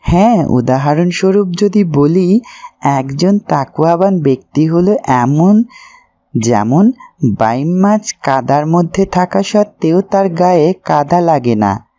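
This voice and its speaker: A devout young cartoon character voiced by an actor teaches in a slow, mellow voice. The delivery is earnest and preachy, with measured explanations. The sound is slightly dull. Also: mid-range voice, expressive, no echo. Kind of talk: scripted Islamic cartoon dialogue.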